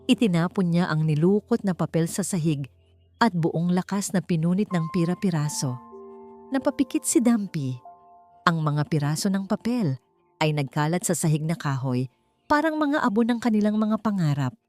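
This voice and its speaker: Woman, from the Philippines, low voice, calm emotive story narration, faint music behind. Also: normal speed. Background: faint background music.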